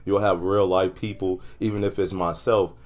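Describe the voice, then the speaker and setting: Low-voiced man chatting as an interview guest. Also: level tone, normal speed, a little mellow. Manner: talkative, repeats "you know". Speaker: podcast guest, entrepreneur. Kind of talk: casual conversational interview.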